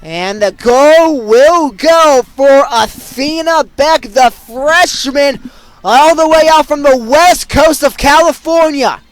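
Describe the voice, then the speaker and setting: A young man calling live sports play-by-play in short, punchy, excitable phrases. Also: extremely high pitch, slow pace, rough recording. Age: young adult. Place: at sports venues, live during games.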